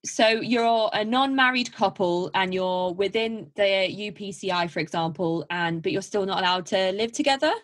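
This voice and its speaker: A woman's voice: mid-range voice, moderate pace, hardly any pauses. A woman hosting a podcast interview, asking questions in a hesitant way with frequent restarts. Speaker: podcast host. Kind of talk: casual interview.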